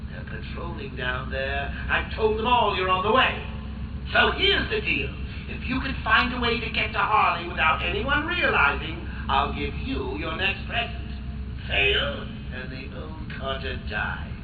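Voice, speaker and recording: An actor playing a gleeful, sadistic clown villain in a video game, taunting and mocking with manic laughter. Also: male voice, high voice, sing-song, a little slow, reverberant, noisy background, heavily acted. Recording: tinny distant loudspeaker sound with music.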